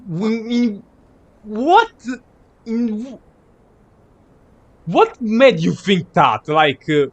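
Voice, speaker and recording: A man's voice: high voice, big pitch swings, very slow, lo-fi sound. Man casually commentating on his game stream.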